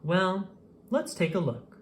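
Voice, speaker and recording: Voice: mid-range voice, very animated, slow, a little hiss or hum, voice pushed up. A storybook reader voicing a kind, cheerful woman doctor in a friendly, reassuring manner.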